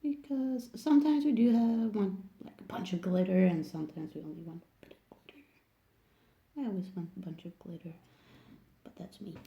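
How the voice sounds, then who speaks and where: A woman's voice: medium pitch, dark tone, lo-fi sound, slight background noise. A woman from Sweden with a Swedish accent, speaking English as a second language in a casual hands-on tutorial, hesitant and searching for words. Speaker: nail technician making tutorial videos.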